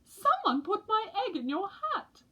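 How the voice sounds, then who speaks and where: Woman as a high-voiced mother penguin. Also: sing-song, unhurried, sharp tone, full character voice. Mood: gently scolding. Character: gently scolding mother penguin.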